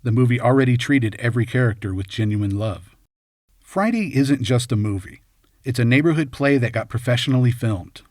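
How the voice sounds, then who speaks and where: A man narrating a scripted film analysis in measured, short punchy phrases. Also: mid-range voice, a little mellow, no room sound, studio quality. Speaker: video essay host and narrator.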